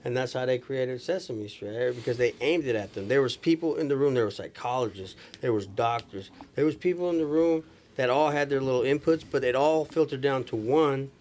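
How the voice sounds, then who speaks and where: A man's voice: medium pitch, brisk, hardly any pauses, a little mellow, a little hiss or hum. A man chatting casually on a podcast in a slangy, expansive storytelling way. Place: small furnished lounge studio indoors. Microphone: close podcast microphone.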